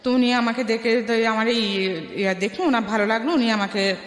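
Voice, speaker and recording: A woman with a Bangladeshi Bengali accent tells her life story as a radio interview guest, haltingly, restarting phrases and dropping in English words. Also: on the high side, faint music behind. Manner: halting, with repeated false starts and English words mixed in. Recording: echoey with long reverb.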